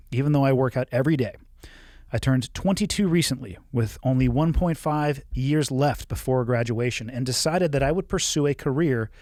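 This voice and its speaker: A man's voice: mid-range voice, darkish tone, no room sound, clean studio sound. A man in his forties hosting a podcast, reading a listener's email and answering with frank, coaching advice. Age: 40s. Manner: frank, coaching, blunt at times. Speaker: podcast host.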